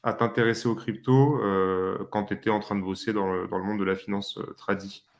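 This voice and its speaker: Low-voiced male podcast host. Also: brisk. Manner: relaxed and friendly, with frequent hesitations. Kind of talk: casual conversational interview.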